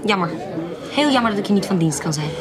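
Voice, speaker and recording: An actress playing a shrewd, self-possessed adventuress in a Dutch radio drama, guarded and evasive. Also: female voice, mid-range voice, lively intonation, quick pace, a crowd in the background, lightly acted. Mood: feigned innocence.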